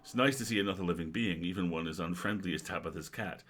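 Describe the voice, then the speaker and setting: Deep-voiced man co-hosting a game livestream. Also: fast talker, fairly bright tone. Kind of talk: casual livestream chat with game narration read aloud.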